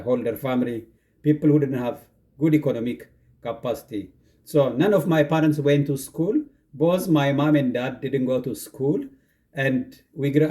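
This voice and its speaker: A man's voice: on the high side, dark tone. A man from Ethiopia with an Ethiopian accent, a university instructor earnestly explaining his charity's work in a casual monologue. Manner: earnest, builds long lists of points.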